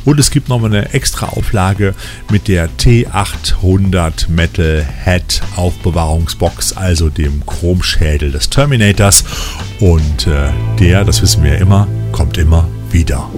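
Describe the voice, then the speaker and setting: Male film-news host, low register, playful scripted narration over a light music bed. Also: unhurried. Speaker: host of a weekly trash film news show. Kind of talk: scripted upbeat review narration.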